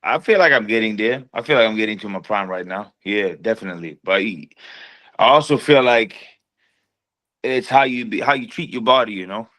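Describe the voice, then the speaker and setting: A man's voice: lowish voice, lively intonation, normal speed, a bit bright. A man, a boxer talking in a laid-back, slangy way as a podcast guest. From Sweden. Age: 30s. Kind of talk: casual chat.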